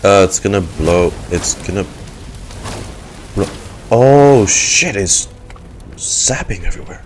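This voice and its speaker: A man's voice: low voice, animated, slow pace. Stammering man reacting live, music behind. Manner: stammering, repeats words anxiously. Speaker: streamer reacting to a game story. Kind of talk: live game reaction commentary. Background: loud game music.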